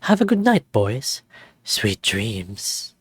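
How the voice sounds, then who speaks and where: Man playing a flirtatious leopard resort owner, smooth, theatrical, teasing, visual novel character, dry recording. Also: fairly low pitch, huge pitch swings, takes it slowly, dry recording, exaggerated voice. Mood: flirty, suave. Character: suave, flirty male leopard resort owner, storytelling host.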